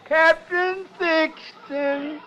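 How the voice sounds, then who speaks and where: An actor playing a feverish, dying bearded sailor who mutters weakly and raves in delirium, in 1940s radio acting. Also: male voice, extremely high pitch, steady tone, drawn-out words, pushed and bright, slight background noise, full character voice. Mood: delirious. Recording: dull lo-fi old radio recording.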